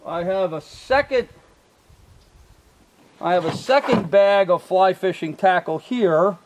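Older man, higher than usual pitch, rambling outdoor show-and-tell, filler-heavy, camera-mic sound. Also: slow pace, a little hiss or hum. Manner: rambling, many ums and uhs, self-correcting. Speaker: vlogger showing fishing gear. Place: outdoors by a truck in a field. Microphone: camera mic from a few feet.

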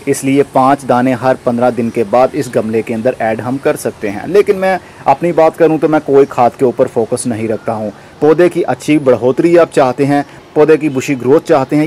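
A man's voice: mid-range voice, moderate pace, hardly any pauses, a little mellow. Man giving a gardening tutorial, outdoor noise. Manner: explanatory, instructing the viewer step by step. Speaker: gardening channel host. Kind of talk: informal how-to gardening tutorial narration.

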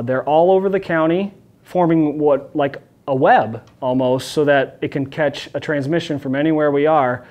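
Man explaining, slightly high-pitched voice. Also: darkish tone. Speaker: police officer presenter. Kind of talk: informal explainer to camera. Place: small office meeting room, indoors.

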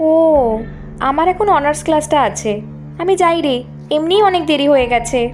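A young college student in a cartoon story. Her voice is high and swings expressively. She sounds sincere, hardworking and politely explanatory, with music behind her. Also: animated, normal speed. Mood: friendly. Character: earnest, hardworking young college woman of modest means. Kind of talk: Bengali animated story dialogue.

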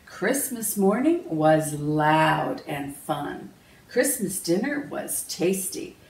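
A woman reading a children's picture book aloud in a measured, expressive storytelling manner. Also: deep voice, vocal fry. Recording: roomy, distant mic, faint noise.

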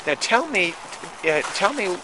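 A man's voice: high voice, very animated, measured pace. A man hosting a gardening show, curious and full of questions as he tours a nursery garden outdoors. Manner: curious, asks many questions. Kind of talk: casual interviewing on a garden visit. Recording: outdoor noise on camera mic.

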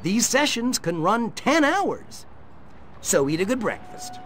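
An actor playing a spacey hippie cult guru in a video game, preaching in a mystical, theatrical way. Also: male voice, high voice, sing-song, slow, a bit bright, faint music behind, full character voice. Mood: serene, smarmy. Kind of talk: video game cutscene acting.

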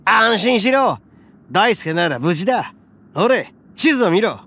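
A boastful brash oni. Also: male voice, high voice, sing-song, a little slow, a bit bright, a little hiss or hum, exaggerated voice. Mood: reassuring. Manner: swaggering, rough and boastful. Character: brash boastful male oni, loud and big-hearted. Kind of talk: game voice acting. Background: game music.

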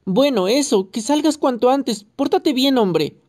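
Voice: animated, normal speed, lightly acted. A reader voicing a hysterical young mother who shrieks and scolds into a phone in an audiobook. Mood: shrill scolding. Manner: shrill, yelling, scolding.

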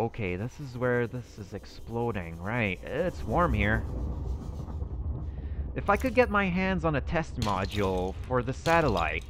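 A man's voice: medium pitch, a bit bright, slight background noise. A man thinking aloud in character while he plays a survival game, musing and hesitant as he goes. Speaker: roleplay gaming channel host.

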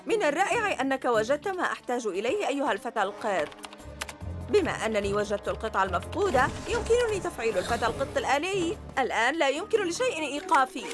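A woman's voice: high-pitched, sing-song, fast. A woman voicing a gloating boy villain, a young mad inventor in a cartoon, boastful and cackling. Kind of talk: animated series dub. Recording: music under dialogue.

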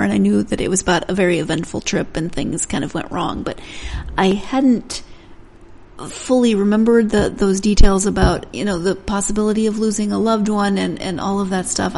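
Chatty woman podcast host with a gravelly edge. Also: mid-range voice, darkish tone. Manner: chatty, self-correcting, laughs at own slips. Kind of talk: solo intro to a book interview.

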